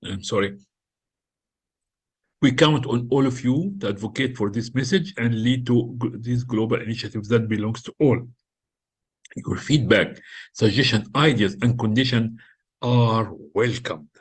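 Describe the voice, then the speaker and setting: An older man with a French-influenced English accent gives a recorded lecture, halting often with fillers and repeated words. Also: medium pitch, slightly rough. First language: not English; French is his second language.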